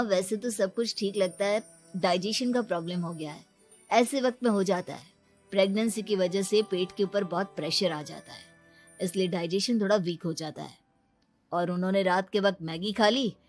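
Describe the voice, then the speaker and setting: A woman playing a calm doctor in a cartoon story, explaining in a matter-of-fact, reassuring way. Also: mid-range voice, normal speed, faint music behind, close to an everyday voice. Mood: calm, instructive. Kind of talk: Hindi animated moral story acting.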